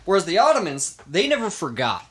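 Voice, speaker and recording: A man's voice: high voice, wildly swooping pitch, brisk, a little hiss or hum. A man hosting a podcast, telling history in an informal, emphatic way with casual banter.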